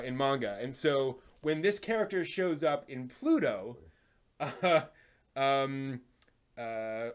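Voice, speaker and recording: A man hosting a casual live stream about anime, thinking aloud and rambling off on tangents as he chats with viewers. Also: mid-range voice.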